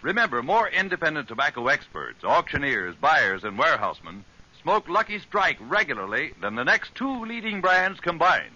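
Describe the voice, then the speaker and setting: A dull-sounding old radio recording of an American male announcer. He is very bright and lively, selling in 1940s commercial style. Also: a little high, normal speed. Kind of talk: scripted 1940s radio advertisement.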